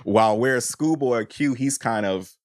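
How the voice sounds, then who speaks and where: A man co-hosting a podcast in casual, reflective discussion, thinking aloud and rephrasing haltingly as he goes. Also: medium pitch, dry recording, clean studio sound. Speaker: podcast co-host.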